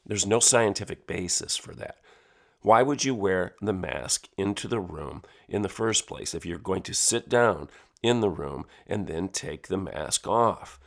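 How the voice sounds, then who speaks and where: A man hosting his own talk show, hoarse and growly from a head and chest cold, delivering an emphatic opinion monologue. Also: sits low, lively intonation, unhurried. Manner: emphatic, piling up lists of words. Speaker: podcast and radio host.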